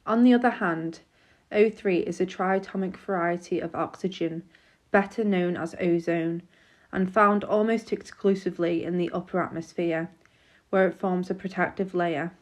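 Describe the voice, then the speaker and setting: A woman reading a scripted educational narration in a measured, formal, explanatory manner, like a public information film. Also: on the low side, steady tone.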